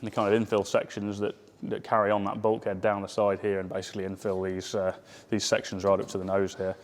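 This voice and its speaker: Hoarse low-voiced man with a cold. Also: a little fast. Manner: relaxed, lots of ums. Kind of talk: casual workshop walkaround. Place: indoor car workshop.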